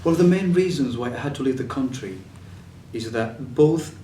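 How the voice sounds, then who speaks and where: A man's voice: medium pitch, lively intonation, moderate pace, dark tone, a little hiss or hum. A young man from Syria, giving a personal testimony with many fillers and restarts. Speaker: refugee telling his story. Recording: echoey room, mic at a distance.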